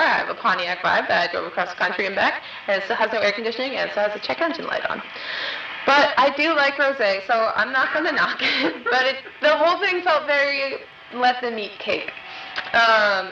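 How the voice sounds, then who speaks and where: A woman's voice: mid-range voice, noisy background. A young woman from Long Island, a singer-songwriter chatting loosely between songs at a live session, telling a story with plenty of fillers. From Long Island, New York. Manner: relaxed, rambling storytelling with fillers. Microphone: dictaphone tape recorder at a distance. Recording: muffled lo-fi dictaphone tape, distant and roomy.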